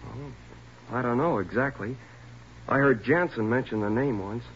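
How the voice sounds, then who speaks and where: An actor playing a frightened twenty-year-old burglary suspect in a 1940s radio drama, pleading, evasive and stammering. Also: male voice, medium pitch, normal speed, lightly acted. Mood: nervous confessing. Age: young adult. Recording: noisy, muffled 1940s radio recording.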